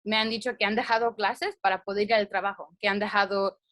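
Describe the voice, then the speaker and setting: A woman's voice: mid-range voice, steady tone, brisk, fairly bright tone, no room sound. A young woman giving a community presentation, earnest and hesitant, with many fillers and restarts.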